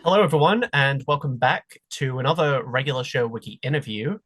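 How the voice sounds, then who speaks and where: A man hosting an interview, reading measured introductions and asking questions over a video call. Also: mid-range voice, fairly bright tone, faint music behind. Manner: measured, scripted-sounding introductions. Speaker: interview host. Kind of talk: hosted interview.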